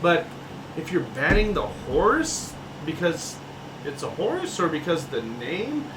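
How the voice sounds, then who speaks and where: A man's voice: fairly high pitch, wildly swooping pitch, slow pace, background noise. A man co-hosting a casual sports podcast, ranting emphatically and swearing often. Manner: heated, swearing, emphatic rants. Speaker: podcast co-host.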